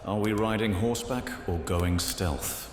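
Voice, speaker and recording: A man playing an imperious, curt knight commander in a fantasy audio roleplay, giving deliberate orders. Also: on the low side, a little hiss or hum, close to an everyday voice. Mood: suspicious.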